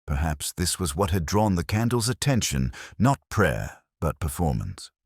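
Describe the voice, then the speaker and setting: A man narrates a detective mystery in the first person with a cavernously low voice. His reading moves through a wide, expressive range, measured and brooding. The sound is dry and close, with no room around it.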